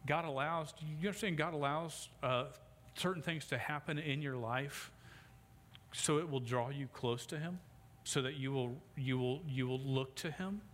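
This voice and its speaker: Stuttering pastor preaching in a hall. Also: male voice, mid-range voice, normal speed, fairly bright tone. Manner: stutters, with repeated sounds and restarts. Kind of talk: sermon. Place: church sanctuary. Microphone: sound system microphone. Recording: long hall reverb, faint background noise.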